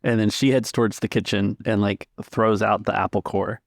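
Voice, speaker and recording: A man's voice: fairly low pitch, little pitch movement, normal speed, gravelly, dry recording, clean studio sound. A man acting as game master, casually narrating and refereeing a tabletop game.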